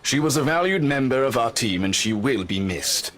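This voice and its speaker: Male actor plays authoritative office manager, average pitch, formal clipped delivery, visual novel drama. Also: lively intonation, moderate pace, a bit bright, lightly acted. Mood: solemn, brisk. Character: businesslike, curt male office boss addressing staff.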